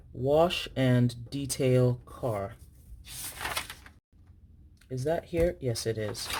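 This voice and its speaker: A woman's voice: extremely low pitch, vocal fry, background noise. A woman from Nigeria chatting casually to her viewers while filling in her planner, thinking aloud and correcting herself as she writes. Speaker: planner vlogger.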